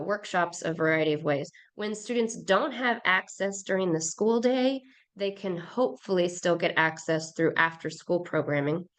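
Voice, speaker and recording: A hoarse woman, a program director, giving an upbeat webinar presentation over a video call, with many fillers and restarts. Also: lowish voice.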